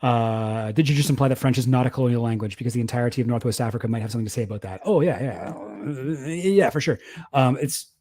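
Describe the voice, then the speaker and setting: A man's voice: medium pitch, fast talker, warm tone. A young man casually commenting on a livestream, rambling, self-deprecating and laughing often. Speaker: streamer reacting to a debate.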